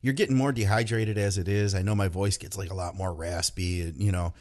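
A man hosting a podcast, talking in a relaxed, chatty way with lots of "you know" fillers. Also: low-pitched, fairly quick. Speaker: podcast host. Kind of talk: casual podcast chat.

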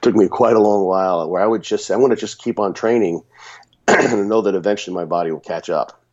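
A man with a cold and a raspy voice, talking casually on a podcast with many restarts and fillers. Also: on the low side, brisk, darkish tone, dull audio. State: sick with a runny nose.

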